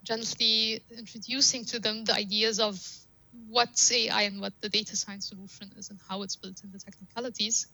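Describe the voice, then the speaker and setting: A woman's voice: medium pitch, flat delivery, moderate pace, pushed and bright, no echo, low-quality recording. A woman whose first language is Arabic, a guest on a podcast, explaining her ideas with examples and repeated phrases. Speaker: guest data scientist. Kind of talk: podcast interview.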